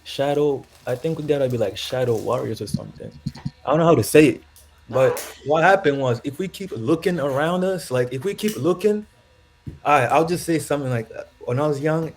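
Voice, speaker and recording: A man's voice: fairly high pitch, animated, brisk. A young man from Zambia, calling into a show and talking in a rambling, reflective way with frequent laughs. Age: young adult. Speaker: caller. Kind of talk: casual call-in chat.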